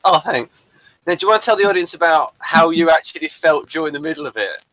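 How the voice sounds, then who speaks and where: A man's voice: a little high, animated, fast, bright, forceful tone, dry recording, low-quality recording. A man hosting a casual interview, rambling and chatty and addressing viewers as folks. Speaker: host and playwright.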